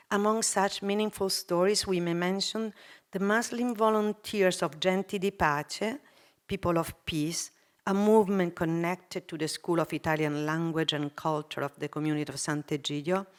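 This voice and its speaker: A woman giving a prepared talk on a conference panel. She speaks in a measured, formal way, with some non-native phrasing. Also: fairly low pitch.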